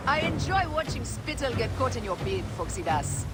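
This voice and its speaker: A woman voicing a fierce, sardonic young warrior in a video game, forceful and dryly mocking. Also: a little high, sing-song, normal speed. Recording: very loud music behind the voice.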